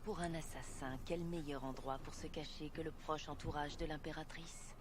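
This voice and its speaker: A woman's voice: deep voice, steady tone, normal speed, close to an everyday voice. An actress playing a serious, inquiring woman in scripted fantasy video game dialogue. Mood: serious, resolute. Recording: very noisy game audio capture.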